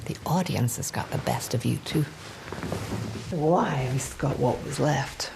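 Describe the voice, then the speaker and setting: World-weary, reproachful wife, low female voice with fry and wide swings, naturalistic drama, noisy recording. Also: a little slow, full character voice. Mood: bitter, sardonic. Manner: reproachful, sardonic. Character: comedian's exasperated, blunt, world-weary wife.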